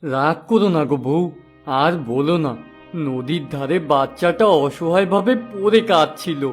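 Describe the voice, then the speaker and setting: High-voiced, kind-hearted poor father played by a man. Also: a little slow, lightly acted. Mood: pleading, gentle. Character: kind, soft-hearted poor father providing for his family. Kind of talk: cartoon fairy-tale voice acting. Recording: faint background music.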